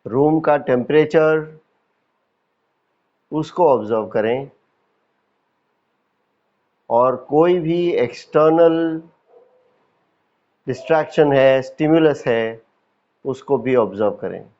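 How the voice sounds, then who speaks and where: Slow-paced Indian man guiding meditation. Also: medium pitch, muffled recording. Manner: calm, instructive, with deliberate pauses and repetition. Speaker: meditation teacher. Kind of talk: guided yoga nidra meditation instruction.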